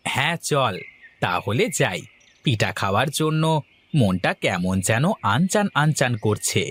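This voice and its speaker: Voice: medium pitch, big pitch swings, a little slow, a bit bright, husky, exaggerated voice. A voice actor playing a mournful young village man in a cartoon, grumbling about being poor. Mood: wistful. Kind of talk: cartoon voice acting.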